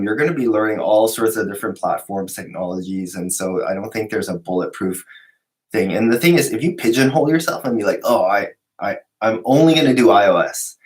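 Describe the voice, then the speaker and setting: A man hosting a relaxed live stream, answering viewer questions. He's a little sick, which drops his voice lower than usual, and he rambles with fillers and restarts. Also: low-quality recording.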